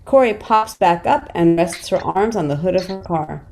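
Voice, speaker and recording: A woman's voice: fairly low pitch, expressive, normal speed, gravelly, a little mellow, faint music behind. A woman narrating stage directions in a screenplay table read.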